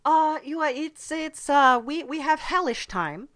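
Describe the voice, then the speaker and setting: A woman's voice: high-pitched, expressive, unhurried, a bit bright. A woman voicing a chatty demon from hell in an improvised comedy podcast, hesitant and politely earnest as she rambles. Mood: chatty, matter-of-fact. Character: chatty demon from hell who visits the human world. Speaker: podcast host. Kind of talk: improvised in-character comedy interview.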